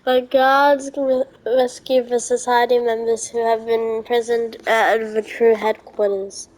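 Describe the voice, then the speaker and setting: A woman commenting on a game playthrough, reacting and reading text aloud in a halting way. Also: fairly high pitch, little pitch movement, a little mellow, low-quality recording.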